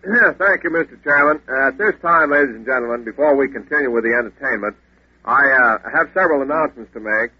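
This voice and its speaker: An actor playing a folksy club speaker making halting, hemming announcements in an old radio ad. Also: male voice, medium pitch, close to an everyday voice. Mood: earnest, fumbling. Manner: halting, hemming, throat-clearing. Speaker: commercial spokesman. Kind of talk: 1940s radio ad as meeting announcements. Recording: tinny, echoey old radio recording.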